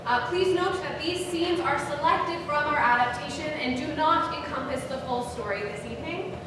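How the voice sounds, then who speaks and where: A woman's voice: high voice, normal speed. A woman narrator reading scripted stage directions aloud at a live theatre reading. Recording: very echoey hall, distant, noisy.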